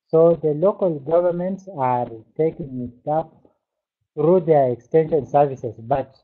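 A man speaking as a webinar panelist over a video call, talking in a slow, measured way with hesitant fillers. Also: a little high. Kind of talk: remote webinar contribution. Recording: tinny phone-like call audio.

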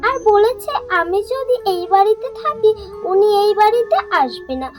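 A woman's voice: very high voice, big pitch swings, moderate pace. A woman voicing a scheming, spiteful young daughter-in-law in a cartoon folk tale. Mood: aggrieved, deceitful. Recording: music behind the voice.

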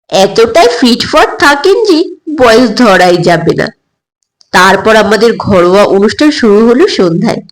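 A woman reading a scripted first-person story as narrator, in an expressive storytelling style. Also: mid-range voice, poor audio.

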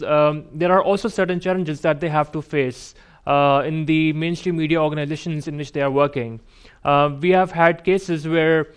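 Man, Pakistani accent, fairly high pitch, crisp clean voice, semi-formal panel talk. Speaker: panelist, digital rights journalist. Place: seated on stage in a conference room.

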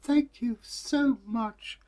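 A man voicing a silly, easily distraught little girl in a folktale, in a shrill, whiny falsetto. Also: extremely slow, a little mellow, heavily acted. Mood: grateful.

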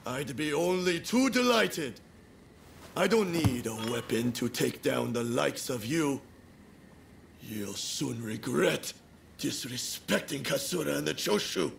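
An actor playing a proud, hot-headed and loyal samurai warrior in a video game cutscene, blunt, defiant and formal in speech. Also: male voice, mid-range voice, animated, slow, gravelly, fairly bright tone, a little hiss or hum, exaggerated voice. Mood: defiant anger.

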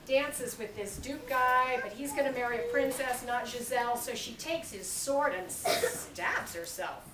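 A woman's voice: a little high, measured pace, natural voice. A woman voicing a young American schoolgirl, eager, dramatic and emphatic, in a storybook reading. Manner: wide-eyed, emphatic, childlike enthusiasm. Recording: echoey, noisy room, distant camera mic.

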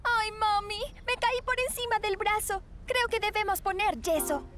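Very high, bossy teen schoolgirl. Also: moderate pace, very noisy. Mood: whiny. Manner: dramatic, demanding. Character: bossy, scheming, whiny teenage schoolgirl. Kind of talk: animated cartoon dub acting.

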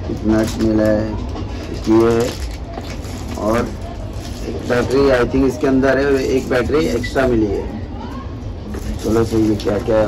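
Chatty man unboxing gear, flat-voiced. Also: mid-range voice, distant mic. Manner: relaxed, chatty, talks while handling gear. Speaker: motovlogger. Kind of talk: casual unboxing vlog. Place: small room at home, indoors. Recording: noisy home recording.

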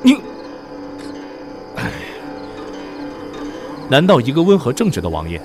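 A man acting in a costume audio drama as a flustered, brash young man posing as a prince, theatrical and quick to protest or mutter asides. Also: medium pitch, huge pitch swings, over music. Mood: indignant.

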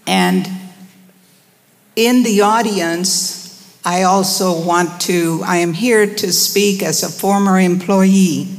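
An older woman in her sixties or beyond giving a measured, earnest public address at a podium microphone. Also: mid-range voice. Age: 60s or older. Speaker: former school employee speaking at public comment. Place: large indoor board meeting room. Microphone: gooseneck podium microphone. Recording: reverberant meeting hall.

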